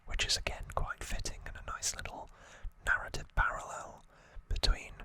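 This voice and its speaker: A man hosting ASMR, explaining trivia in a soft unvoiced whisper with an informal, halting delivery that often corrects itself. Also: no echo. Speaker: ASMR host.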